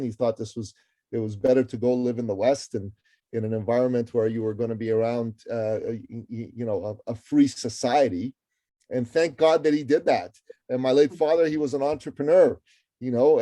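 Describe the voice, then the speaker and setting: A middle-aged man from Iran speaks as an interview guest, his voice mellow and dark. He talks with energy and emphasis, stacking phrases and repeating words as he builds his point. The sound is dry and close, with little room. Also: medium pitch, dry recording. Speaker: guest, author and entrepreneur. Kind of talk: interview conversation.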